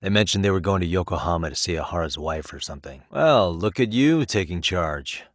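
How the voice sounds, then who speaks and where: A man's voice: low-pitched, animated, a little fast, dry recording. A man with an American accent voicing an easygoing, principled detective in a video game, talking in a dry, wry way as he reasons things through. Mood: casual, wry. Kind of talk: video game voice acting, conversational dialogue.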